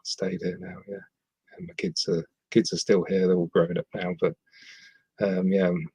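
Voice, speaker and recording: A man's voice: low-pitched, flat delivery, moderate pace, dark tone, slightly rough, dry recording, low-quality recording. A middle-aged man from the West Midlands, a podcast guest talking hesitantly with many ums. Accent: English, not Scottish. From West Midlands, England. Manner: hesitant, frequent ums and restarts. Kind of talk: casual interview chat.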